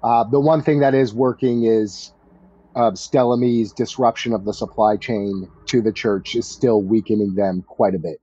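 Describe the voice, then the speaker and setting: A man running a tabletop roleplaying game as game master, casual and conversational, with some halting phrasing. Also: on the low side, steady tone, warm tone, husky. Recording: dull, muffled audio with music behind. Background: background music.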